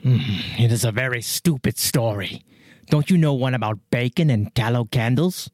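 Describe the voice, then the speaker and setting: A man's voice: medium pitch, normal speed, darkish tone, no room sound, clean studio sound, lightly acted. A man playing a dismissive, bored rat in an audio storybook, speaking bluntly.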